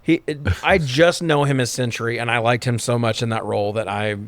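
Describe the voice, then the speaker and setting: Man, medium pitch, casual movie chat, enthusiastic, profane, podcast co-host, very dry sound. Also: fairly even intonation, a little fast, no echo.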